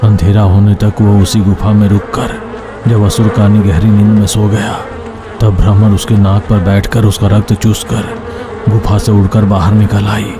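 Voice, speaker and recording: A man narrating an animated folk tale as scripted, dramatic storytelling. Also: lowish voice, normal speed, background noise.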